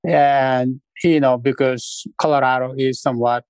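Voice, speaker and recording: Casting middle-aged man from Nepal, halting and unhurried. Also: medium pitch, fairly even intonation, a little mellow, clear, resonant tone, dry recording. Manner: unhurried, halting, with many fillers. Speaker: guest, restaurant founder. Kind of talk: casual interview storytelling.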